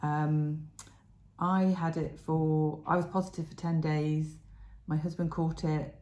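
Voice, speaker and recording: A middle-aged woman from England, co-hosting a knitting podcast in casual, rambling chat, her voice still croaky from illness. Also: low-pitched, little pitch movement, takes it slowly, darkish tone, roomy sound, a little hiss or hum. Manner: chatty, rambling, many fillers. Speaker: podcast co-host. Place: home room.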